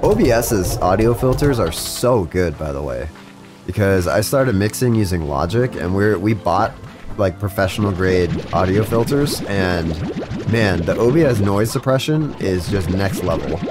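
A man's voice: low voice, expressive, normal speed, music in the background. A young man chatting casually with his live chat while playing a game, stuffed up with a cold, rambling with frequent restarts. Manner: rambling, talks to chat, self-interrupting restarts. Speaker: streamer. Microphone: studio mic with compressor.

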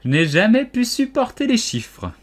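A male narrator plays a brusque, violent Duchess from a children's storybook. The voice is hoarse and grumbling, pushed higher and louder, with abrupt, bellowed phrases. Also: animated, normal speed. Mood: brusque, irritated. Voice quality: hoarse, gruff.

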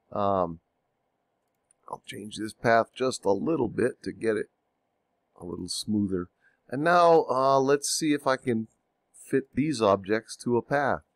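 Male tutorial narrator, slightly stuffy from a cold; low-pitched, dry close mic, relaxed and hesitant. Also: no room sound. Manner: unhurried, thinking aloud with ums and self-corrections. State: getting over a cold. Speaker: software tutorial instructor. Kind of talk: screen-recorded software tutorial.

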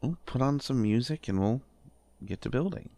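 A man playing an affectionate, bashful partner in an intimate roleplay audio, talking haltingly with stammers and gentle teasing. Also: mid-range voice, animated, no echo, close to an everyday voice. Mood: playful. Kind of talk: intimate roleplay audio acting, one side of a conversation.

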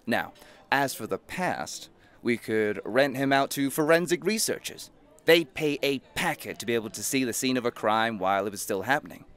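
A man's voice: mid-range voice, lively intonation, moderate pace, a bit bright, clear, resonant tone, natural voice. An actor playing a cynical, greedy rich businessman in an audio play, mocking and slickly persuasive. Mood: slick persuasion. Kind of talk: scripted audio play acting.

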